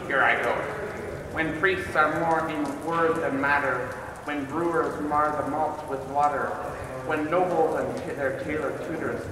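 A man's voice: fairly high pitch, close to an everyday voice. A young man voicing a witty, riddling male court jester in a sing-song recitation, in an amateur class-project puppet reading. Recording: echoey room, far from mic, background noise.

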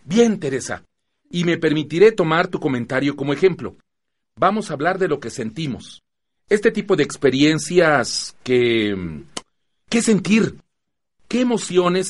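Man acting earnest, firm group facilitator, higher-pitched. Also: big pitch swings, measured pace. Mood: encouraging, patient. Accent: Mexican. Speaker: actor in a radio drama. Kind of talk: Spanish-language radio drama acting.

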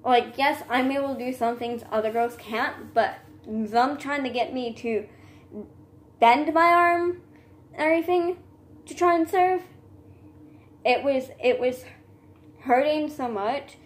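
A woman's voice: high voice, measured pace, pauses often. A teenage girl venting in a rambling, casual monologue, filmed on a phone at arm's length in a small room. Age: teens. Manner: rambling, restarts and trailing asides. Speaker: vlogger. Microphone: phone camera at arm's length.

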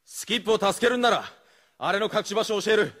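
An actor playing a tough, defiant teenage gang leader in a Japanese radio drama, with rough, slangy speech. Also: male voice, high-pitched, fast, a bit bright. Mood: defiant, angry.

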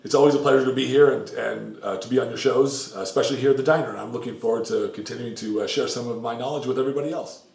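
A middle-aged diet coach explaining nutrition in a rambling, casual way, full of fillers and restarts. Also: male voice, mid-range voice, very fast, vocal fry, hardly any pauses, darkish tone. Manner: rambling, many fillers and self-corrections. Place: home kitchen, indoors. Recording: echoey kitchen, mic at a distance.

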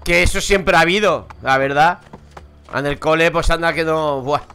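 Chatty Madrid gamer, bright high voice. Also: male voice, fairly even intonation, moderate pace, clean tone, faint music behind. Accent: Madrid Spanish. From Madrid, Spain. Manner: chatty, swears, laughs at own stories. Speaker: gaming streamer. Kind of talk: casual let's-play commentary. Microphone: headset.

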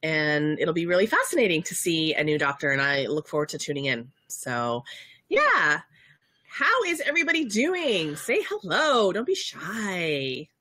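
A woman in her thirties with a head cold, her voice bright and forceful, talks on a home livestream through a desk webcam mic. She is chatty and excitable and leans on ums, and the sound is dry and close, with little room in it. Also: lowish voice, measured pace, no echo. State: stuffed up with a summer cold. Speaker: co-host of a game studio livestream. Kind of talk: casual live chat. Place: home room with bookshelves, indoors.

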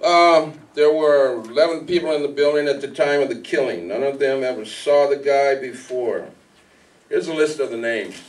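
A man's voice: medium pitch, normal speed, lightly acted. A man playing a plain-spoken police detective in an amateur radio play. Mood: matter-of-fact. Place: small hall stage, indoors. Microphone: stand microphone, recorded by far camera. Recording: distant hall PA recording.

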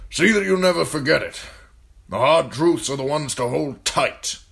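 A man voicing a gruff older military commander in an audiobook, talking bluntly and firing off needling questions. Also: on the high side, expressive, moderate pace, a bit bright, voice pushed up. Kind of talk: audiobook character voice.

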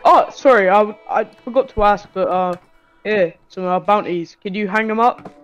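A man acting out a friendly sheriff's deputy in a game roleplay. He is easygoing and matey, fairly high in pitch for a man, with faint music behind. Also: normal speed, smooth, muffled recording, natural voice. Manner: easygoing, matey, calls people lad and pal. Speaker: player in a Minecraft roleplay. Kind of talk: improvised roleplay dialogue.

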